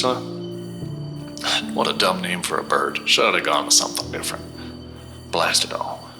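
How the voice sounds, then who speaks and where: An actor playing a folksy, defiant starship captain in an audio drama, addressing the crew in an informal, rambling, emphatic way. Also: male voice, medium pitch. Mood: wry, defiant. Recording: roomy, reverberant sound over clear music.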